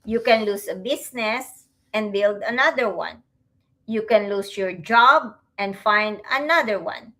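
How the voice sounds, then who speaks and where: A middle-aged woman with a Filipino accent hosting a livestream, talking to viewers in a reflective, halting way. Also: mid-range voice, a bit bright. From Philippines. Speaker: host, online business owner.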